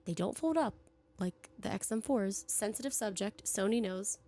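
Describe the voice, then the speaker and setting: A woman's voice: mid-range voice, normal speed, warm tone. Chatty young woman losing her voice. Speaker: podcast co-host. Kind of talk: casual chat. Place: podcast studio at an event venue. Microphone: studio podcast microphone.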